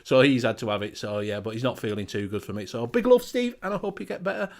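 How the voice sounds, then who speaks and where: A man's voice: medium pitch, animated, fast. A man with a Northern English accent hosting a casual live-stream chat, swearing freely and reading out viewer names. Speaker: show host.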